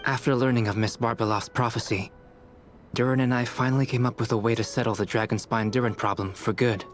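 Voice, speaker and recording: An actor playing a calm, analytical young male alchemist in a video game, measured and explanatory. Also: medium pitch, faint music behind, close to an everyday voice. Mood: composed, explanatory.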